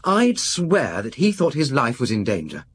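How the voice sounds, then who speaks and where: Nervous posh young man in a radio play, earnest and hesitant, voice swings up and down, bit husky. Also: medium pitch, wildly swooping pitch, moderate pace, a bit bright, exaggerated voice. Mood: earnest, troubled. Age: young adult. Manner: hesitant, polite, confiding, with stammered restarts. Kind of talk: radio drama acting.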